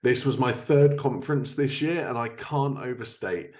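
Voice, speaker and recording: A man's voice: medium pitch, moderate pace. A man hosting a podcast, delivering scripted introductions and interview questions. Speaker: podcast host.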